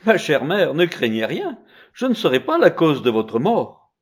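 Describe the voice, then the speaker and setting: A man doing a strangely wise infant boy, high and bold. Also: wildly swooping pitch, measured pace, slightly rough, heavily acted. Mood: reassuring. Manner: bold, scolding. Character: uncanny infant boy, calm and defiant.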